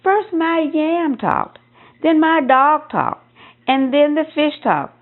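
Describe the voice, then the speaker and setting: A woman voicing a bewildered, frightened male farmer in a folktale, excitable and emphatic in repetition. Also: high voice, slow, phone-quality sound, pitched above the performer's own voice. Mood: breathless alarm.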